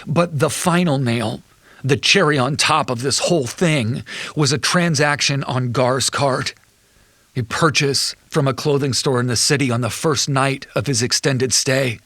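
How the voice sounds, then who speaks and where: Betrayed husband, mid-range voice, bitter and measured, audio drama monologue, close dry sound. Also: male voice, medium pitch, fairly bright tone, no room sound. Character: betrayed husband, an accountant recounting his wife's affair.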